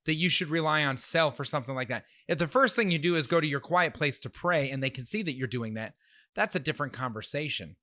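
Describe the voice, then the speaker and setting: Man, slightly nasal, bright and sharp voice, casual chat co-host, animated. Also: on the high side, fast, dry recording, clean studio sound. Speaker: podcast co-host.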